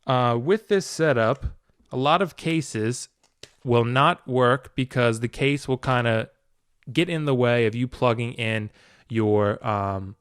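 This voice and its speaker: A man's voice: mid-range voice, slow, smooth, dry recording, studio quality. A man hosting a casual, unscripted tutorial, talking informally and a bit ramblingly as he demonstrates. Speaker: video tutorial host, hobbyist. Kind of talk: casual unscripted product walkthrough.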